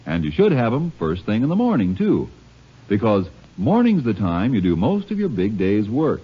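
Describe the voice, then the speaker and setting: A male radio announcer reading a chatty, folksy 1950s sales pitch. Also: huge pitch swings, normal speed, slightly rough. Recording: dull old radio recording.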